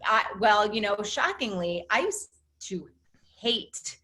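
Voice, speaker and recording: A woman with a faint New Jersey accent speaks as a podcast guest in an easy, conversational way. She tells stories with emphatic stresses and some self-mockery, and her voice sits at an ordinary middle pitch. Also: takes it slowly. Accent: slight New Jersey. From Illinois, Chicago suburbs. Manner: lively storyteller, self-deprecating, emphatic. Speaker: on-camera consultant, podcast guest. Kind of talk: casual interview chat.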